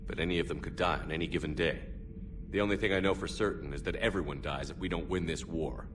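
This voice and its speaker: A man's voice: low voice, fast talker, noisy background, natural voice. An actor playing a male military commander who talks in a terse, dry, matter-of-fact way. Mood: steady.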